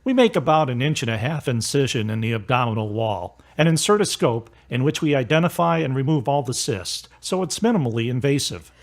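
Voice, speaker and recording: A man's voice: medium pitch, expressive, a little fast, few pauses, close to an everyday voice. A man playing a considerate doctor who explains things clinically in an amateur audio drama.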